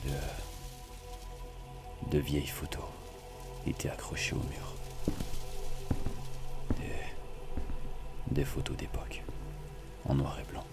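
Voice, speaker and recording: An actor playing a haunted, hesitant man in a psychological horror audio drama, speaking in hushed, halting phrases that restart on their first words. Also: completely flat delivery. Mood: uneasy, hushed. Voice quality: very deep, breathy whispering. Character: haunted, hesitant man recounting exploring an eerie old house. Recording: dramatic music bed with rain. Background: music and rain.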